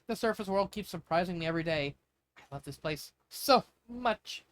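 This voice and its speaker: A man reading amateur fan fiction as an eager, wonder-struck young storyteller from an underground kingdom, in an earnest, halting way. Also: high voice, expressive, a bit bright.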